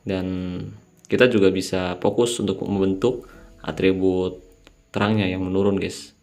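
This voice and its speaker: A man's voice: deep voice, little pitch movement, normal speed, darkish tone, soft background music. A young man with a slightly nasal, sleepy voice, casually explaining a mobile game strategy and calling his viewers "guys". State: sleepy, late at night. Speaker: mobile game channel host. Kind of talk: casual gameplay tutorial voice-over.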